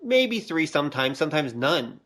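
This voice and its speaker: A man's voice: on the high side, big pitch swings, moderate pace, a bit bright. A middle-aged man talking casually as a co-host in a podcast discussion. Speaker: podcast co-host, college teacher.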